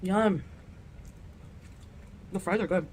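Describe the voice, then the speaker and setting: A woman's voice: lowish voice, takes it slowly, darkish tone, a little hiss or hum. A woman vlogging casually about her food, talking between mouthfuls with little murmurs of relish. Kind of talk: casual mukbang vlog chat.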